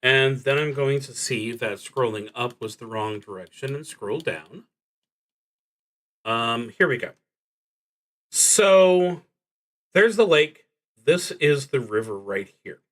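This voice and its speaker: Bright, forceful man narrating a solo game. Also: mid-range voice, expressive, slow, pauses often. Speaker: solo tabletop roleplaying streamer. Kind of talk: live play narration, thinking aloud.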